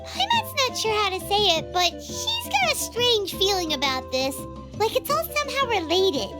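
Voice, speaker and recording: A woman voicing a tiny, excitable, childlike fairy companion girl in a video game, with a squeaky voice and exclaiming manner. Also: moderate pace. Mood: puzzled. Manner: exclaiming, refers to self in third person. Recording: loud background music.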